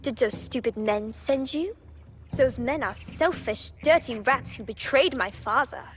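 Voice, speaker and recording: A woman playing a sly, defiant young pirate's daughter in a video game, sharp-tongued and playfully sweet. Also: on the high side, a little slow, soft background music, lightly acted. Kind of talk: dramatic game voice acting.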